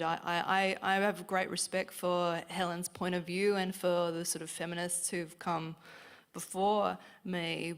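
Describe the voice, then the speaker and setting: Woman, low even voice, earnest panellist, halting restarts. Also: clean tone. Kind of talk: live panel discussion.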